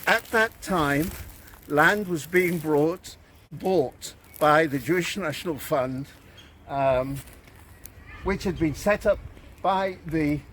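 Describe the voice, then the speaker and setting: An older British man from Manchester, in his seventies, talks through history in a slow, unhurried, measured way, like a guide telling a story. His voice sits a little high for a man, and faint outdoor ambience hangs behind him. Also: a bit bright, a little hiss or hum. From England. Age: 70s. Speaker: kibbutz member giving a guided talk. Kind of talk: unscripted guided explanation to camera. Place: outdoors on a kibbutz.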